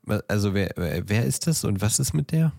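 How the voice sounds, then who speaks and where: A man's voice: on the low side, lively intonation, brisk, gravelly, darkish tone, dry recording, clean studio sound. A man co-hosting a podcast in casual, teasing, mock-serious banter. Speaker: podcast co-host. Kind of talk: casual banter between co-hosts.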